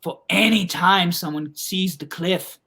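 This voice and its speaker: A performer voicing a grizzled older man who gives grave, ominous warnings in a hushed, gravelly voice. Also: medium pitch, a little slow, heavily acted.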